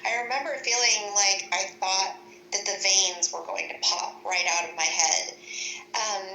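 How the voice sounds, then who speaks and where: A woman with a very bright, forceful voice at middling pitch tells her story as an interview guest. She is deliberate and matter-of-fact. The room echoes around her, with faint noise underneath. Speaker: interview guest recounting a personal experience.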